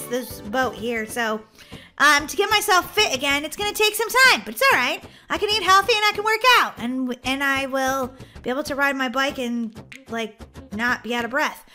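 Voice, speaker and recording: Very bright, high-pitched excitable woman gamer. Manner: excitable, exclaiming, giggly. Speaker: gaming video host. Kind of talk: playful gameplay commentary. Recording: music under the voice. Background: music.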